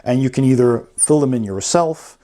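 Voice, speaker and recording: A man's voice: mid-range voice, lively intonation, moderate pace, warm tone. A man giving a casual, unscripted review commentary, explaining things step by step as he goes through a rulebook. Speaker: host of a board game review channel.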